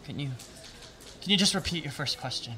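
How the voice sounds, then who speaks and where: A shaken, raw male witness giving grave testimony in an audio drama. His voice sits a little high, with faint noise behind it. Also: animated, pushed and bright. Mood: sombre. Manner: halting, emotional testimony turning to storytelling. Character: shaken, grieving male bank robbery witness, prickly, raw. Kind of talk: audio drama acting, first-person narration.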